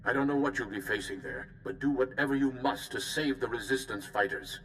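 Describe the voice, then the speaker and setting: An actor voicing a calm, principled Jedi mission leader in a video game, with a formal, courteous manner. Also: male voice, medium pitch, a little fast, slight background noise, close to an everyday voice, rough recording. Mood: urgent.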